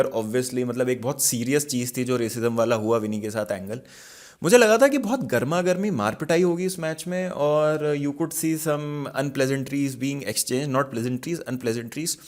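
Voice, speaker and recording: A man with an Indian accent talks through football matches as a show host, conversational and opinionated, with emphatic asides. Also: medium pitch. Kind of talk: casual football match analysis, mixing Hindi and English.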